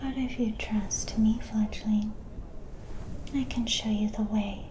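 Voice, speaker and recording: A woman performs a mysterious vampire seeress in a whispered ASMR fantasy roleplay. Her breathy whisper is dark and soft, with slow, deliberate phrasing that stays even and ominous. Faint noise sits behind the voice. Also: mid-range voice, close to an everyday voice, low-quality recording. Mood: ominous, coaxing.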